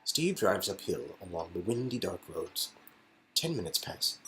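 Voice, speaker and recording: A man's voice: low voice, sing-song, a little slow, pushed and bright, a little hiss or hum, rough recording. A man reading out an interactive story game as narrator, in a casual second-person storytelling style. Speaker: reader and narrator of game dialogue.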